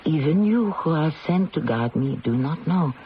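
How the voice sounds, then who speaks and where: A woman's voice: deep voice, animated, moderate pace, a little hiss or hum. An actress playing a proud, weary, sorrowful condemned French queen in a theatrical old-time radio drama style. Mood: resigned, gentle. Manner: theatrical, imperious, emotional. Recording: dull lo-fi radio, music behind.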